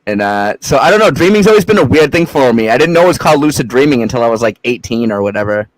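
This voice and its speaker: A man's voice: mid-range voice, lively intonation, quick pace, no echo, rough recording. Rambling young man, dry close sound. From Massachusetts. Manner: rambling, loose, swears freely, lots of filler "like". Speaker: podcast guest. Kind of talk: casual chat.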